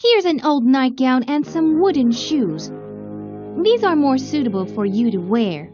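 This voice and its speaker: Spiteful, haughty young storybook villainess, woman acting, slow drawn-out sneer, lo-fi. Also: sits high, big pitch swings, over music. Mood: scornful. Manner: bossy, sneering.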